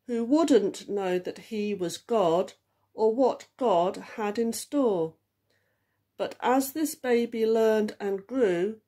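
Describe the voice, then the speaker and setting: A woman reading a ballad poem aloud in a measured recitation. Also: on the high side, warm tone. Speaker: church group leader reading aloud.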